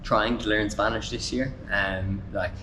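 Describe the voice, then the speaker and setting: Young Irish man, twenties, interview guest; low-pitched, easygoing, filler-heavy delivery; noisy recording with rumble. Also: measured pace. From Ireland. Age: 20s. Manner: relaxed, frequent fillers like "um" and "like". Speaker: traveler guest. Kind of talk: casual conversational interview.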